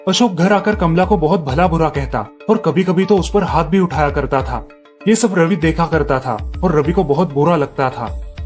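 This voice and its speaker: A man reading scripted narration for a moral family story. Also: on the high side.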